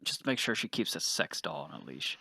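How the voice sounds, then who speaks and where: Sour, sarcastic starship scientist played by a man, low-voiced and dry, grumbling tabletop roleplay. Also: big pitch swings, fairly quick, gravelly, sharp tone, husky, dry recording, heavily acted. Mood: grumpy, sarcastic. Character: grumpy, foul-mouthed male ship's scientist who hates androids.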